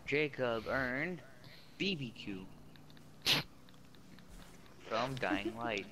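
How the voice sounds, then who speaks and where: A man's voice: mid-range voice, expressive, drawn-out words, background noise. A sleep-deprived, giddy man rambling and babbling nonsense words while chatting in a group game session over a headset. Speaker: player in group game chat. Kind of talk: rambling casual gaming banter.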